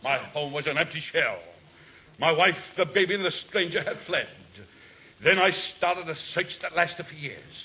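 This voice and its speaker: A man's voice: fairly high pitch, moderate pace, slightly rough. An actor playing a raggedy vagrant convict who tells his tragic life in florid, theatrical speech, in 1940s radio comedy style. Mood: brooding, dramatic. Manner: florid, theatrical, formal diction. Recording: muffled old radio broadcast recording.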